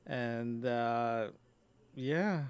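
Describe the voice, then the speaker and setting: A man hosting a personal audio log, talking casually off the cuff in a halting, hesitant way and often repeating his phrases. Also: mid-range voice, smooth. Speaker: podcast host recording a personal audio log. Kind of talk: casual off-the-cuff diary narration. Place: indoors in an office.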